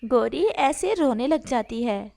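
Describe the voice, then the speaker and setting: A woman with a high voice works as the narrator of a children's animal tale. She reads in a storytelling style made for cartoons. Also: lively intonation, takes it slowly, gravelly, darkish tone.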